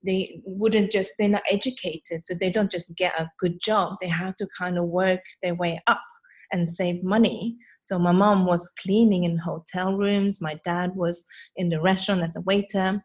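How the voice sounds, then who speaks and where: A woman's voice: on the low side, steady tone, normal speed, breathy edge, lo-fi sound. A woman from Sweden whose first language is Hakka, a lash artist speaking as a podcast guest, talking freely with many fillers and non-native grammar. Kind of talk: podcast interview.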